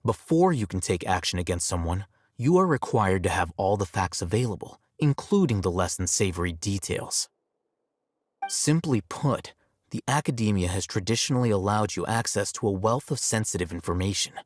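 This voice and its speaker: Aloof, low-voiced young scholar. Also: male voice, expressive, a little mellow, no echo, clean studio sound. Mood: coolly logical. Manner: measured, detached, matter-of-fact. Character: aloof, coolly logical young male scholar. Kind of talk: video game character dialogue.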